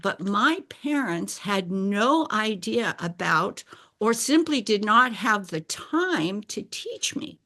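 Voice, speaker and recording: An older woman whose first language is Bulgarian, telling a personal story in a deliberate, explanatory way and clearing her throat now and then. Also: fairly high pitch, sharp tone. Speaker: speaker sharing a personal story. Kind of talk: slow scripted personal narration.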